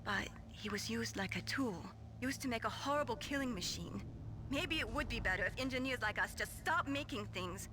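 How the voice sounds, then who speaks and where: Thoughtful young adviser in a video game, played by a woman, fairly high, sincere, clear background noise. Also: lively intonation, moderate pace, close to an everyday voice. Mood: regretful. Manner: earnest, reflective. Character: thoughtful, idealistic young female engineer and adviser.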